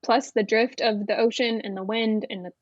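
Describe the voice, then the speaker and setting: A woman's voice: on the high side, fairly even intonation, moderate pace, dry recording, highs cut off. A woman, a Navy veteran, chatting frankly and casually as a podcast guest, with many fillers. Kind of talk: casual interview chat.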